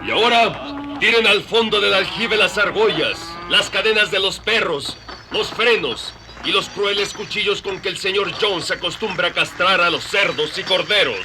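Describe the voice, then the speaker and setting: A man's voice: sits high, moderate pace. A man playing a commanding, idealistic pig leader in a dramatized radio novel, speaking like an orator. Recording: noisy crowded farmyard behind.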